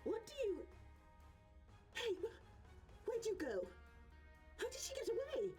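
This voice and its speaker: Haughty, high-voiced villainous genius. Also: female voice, big pitch swings, slow pace, dark tone, soft background music. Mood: alarmed. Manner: haughty, theatrical, drawling for emphasis. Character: imperious, scornful female villain genius, haughty and impatient. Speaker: video game voice actor. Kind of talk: theatrical cutscene acting.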